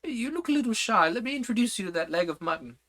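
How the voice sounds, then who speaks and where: A domineering storybook queen barks commands in a piercing, high voice, put on by a man reading aloud. Her orders come out bright and sharp, and she rises to a full scream at her loudest. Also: expressive, quick pace, heavily acted. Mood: bossy. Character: imperious bossy adult female queen.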